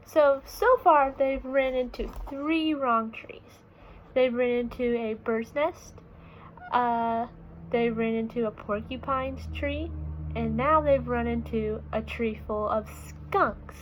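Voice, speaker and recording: High-voiced woman reading slowly to children. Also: poor audio. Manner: expressive, teacherly, pausing to ask listeners questions. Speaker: read-aloud reader. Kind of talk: reading a picture book aloud with questions to young children. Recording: clear background noise.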